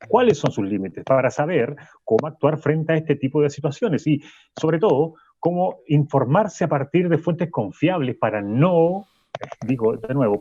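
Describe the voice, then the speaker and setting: A man in a radio drama playing a pompous, defensive sociology professor with halting, emphatic repetitions, slightly muffled. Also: a little high, dark tone, breathy edge, full character voice. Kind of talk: Spanish-language radio drama acting.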